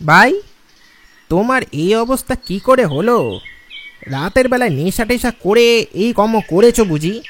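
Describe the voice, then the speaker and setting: A man playing a grave, knowing villager in a dramatised audio story, speaking in a measured, admonishing way. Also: high-pitched, lively intonation. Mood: concerned, questioning. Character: grave, admonishing village man who knows local lore. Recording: music and birdsong behind the voice.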